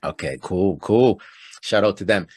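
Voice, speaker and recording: A man from Toronto hosting a casual interview, upbeat and chatty and quick to laugh. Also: deep voice, darkish tone, husky, dry recording, clean studio sound. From Toronto, Canada. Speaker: podcast host.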